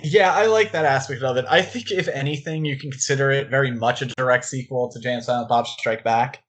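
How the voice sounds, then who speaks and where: Male podcast co-host, mid-pitched, chatty and enthusiastic film talk with rambling asides. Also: brisk, a bit bright. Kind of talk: casual film discussion.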